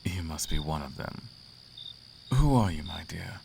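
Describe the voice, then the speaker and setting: Male actor as a demon prince, very low voice, suave, imperious, teasing, intimate fantasy audio roleplay, crickets chirping behind. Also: expressive, background noise, heavily acted. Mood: curious, flirtatious. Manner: teasing, silky, grandly formal, drawn-out emphasis. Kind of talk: roleplay ASMR, intimate theatrical monologue. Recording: clear outdoor cricket ambience behind.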